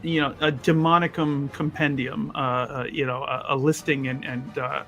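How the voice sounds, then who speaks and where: A man's voice: medium pitch, little pitch movement, normal speed, sharp tone, lo-fi sound. A man playing a tabletop roleplaying game, chatting casually and improvising in a chuckly, agreeable way.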